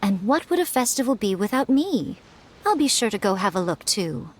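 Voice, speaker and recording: A woman's voice: mid-range voice, big pitch swings. A sly, lilting fox spirit lady. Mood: playful. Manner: teasing, measured, philosophical. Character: sly, wise, playful fox spirit lady, centuries old. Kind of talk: anime game voice acting.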